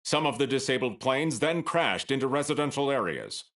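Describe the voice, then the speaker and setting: An actor playing a serious TV news reporter in a video game, reading a formal breaking-news bulletin. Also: male voice, medium pitch, lively intonation, moderate pace, fairly bright tone, slight background noise. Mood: serious, brisk.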